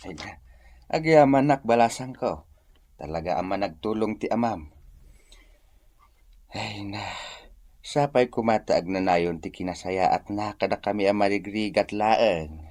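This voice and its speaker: An actor playing a humble, grateful old farmer uncle in an Ilocano radio drama, sighing and gentle, with an Ilocano accent. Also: male voice, lowish voice, a little slow, pauses often, no echo, lightly acted. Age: older.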